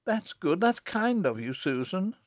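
A man voicing a gentle, kindly young woman, an elder sister soothing others, in an audiobook. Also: sits high, sing-song, unhurried, no room sound, clean studio sound, pitched above the performer's own voice. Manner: gentle, reassuring. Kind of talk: audiobook character acting.

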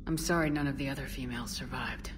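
An actress playing a steady, direct and compassionate military commander in a video game, composed and straightforward. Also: female voice, extremely low pitch, fast talker, slight background noise. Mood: sober.

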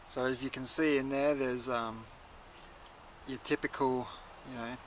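A man's voice: medium pitch, fairly even intonation, unhurried, a little hiss or hum. A young man giving a casual, laid-back review to camera in a conversational way. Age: young adult. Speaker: chilli reviewer, video host. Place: outdoors in a leafy garden.

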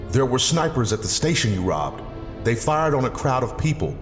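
An actor playing a grave, disappointed older mentor in an audio drama, speaking in a measured, reproachful way. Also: male voice, mid-range voice, sing-song, close to an everyday voice. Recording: echoing hall under music.

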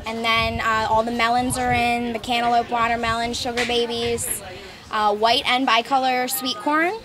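A woman's voice: a little high, flat delivery, moderate pace. A young woman, a produce vendor, casually answering in an interview. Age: young adult. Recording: market crowd behind.

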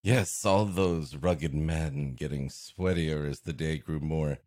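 A man's voice: extremely low pitch, slow pace, darkish tone, no room sound, studio quality. A man co-hosting a podcast, making improvised, wry and mock-serious comic remarks on a story. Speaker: podcast co-host.

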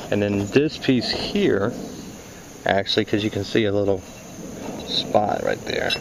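A man's voice: on the low side, gravelly. A man explaining parts hands-on as a technician, in a casual, folksy way, thinking aloud as he goes. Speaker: HVAC technician demonstrating parts. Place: outdoors in a yard by a scrap pile. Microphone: handheld camera held by the speaker. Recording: noisy outdoor recording with insects.

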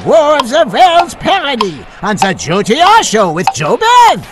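An actor voicing an eccentric, excitable professor and caretaker in a campy radio comedy. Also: male voice, very high voice, unhurried, heavy background noise, heavily acted. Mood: eager announcing. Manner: comic mangled grammar, excitable. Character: nervous, eager, eccentric male cartoonish professor caretaker.

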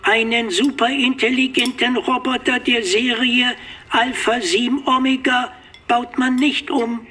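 An actor playing a touchy, pedantic male robot in a radio play, speaking slowly and precisely. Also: extremely high pitch, flat delivery, pushed and bright, husky, full character voice. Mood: indignant. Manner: pedantic and offended.

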